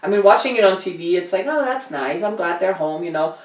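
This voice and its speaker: A woman's voice: mid-range voice, expressive, quick pace. A woman from Germany hosting a casual talk show, speaking emphatically and earnestly and repeating phrases to drive home her opinions. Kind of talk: casual conversational opinion chat. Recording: roomy echo, distant from mic.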